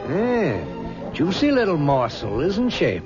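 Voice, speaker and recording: A man's voice: a little high, huge pitch swings, takes it slowly, full character voice. An actor playing a worldly, scheming middle-aged father who talks in a jovial, chuckling and sly way in a radio drama. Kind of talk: 1970s radio drama acting. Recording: dull 1970s radio recording with music.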